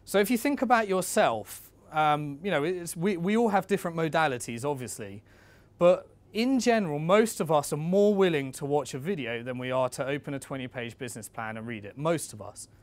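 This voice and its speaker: Higher-voiced man coaching in a dry recording. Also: expressive, fairly quick, no room sound. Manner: conversational, coaching, addresses listeners directly. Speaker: business presenter, instructor. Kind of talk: informal instructional talk on raising investment.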